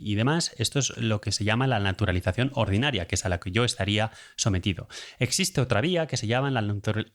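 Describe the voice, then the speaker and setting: A man with an accent from Spain, casually explaining things in a conversational monologue with hesitant phrasing and asides. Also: mid-range voice. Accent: Spanish from Spain.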